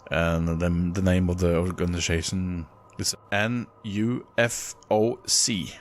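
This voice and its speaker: A man with a Norwegian accent reading sighting reports aloud in an unhurried way, breaking off for casual, halting comments. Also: low-pitched, fairly even intonation, a little hiss or hum. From Norway. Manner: unhurried, halting in asides, chuckles at own slips. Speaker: narrator of UFO reports.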